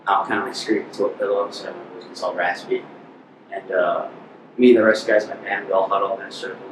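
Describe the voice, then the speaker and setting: A teenage boy with a low voice answers casually, with lots of pauses and fillers. He sits back from the mic in an echoey space with clear background noise. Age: teens. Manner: relaxed, hesitant, filler-heavy. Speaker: student musician. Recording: roomy sound from a distance with noise.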